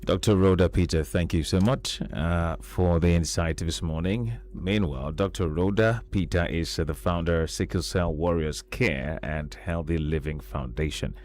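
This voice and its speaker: Deep-voiced male radio host. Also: moderate pace, few pauses, a little hiss or hum. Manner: relaxed, with frequent "uh" fillers. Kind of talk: morning radio talk show hosting. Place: radio studio.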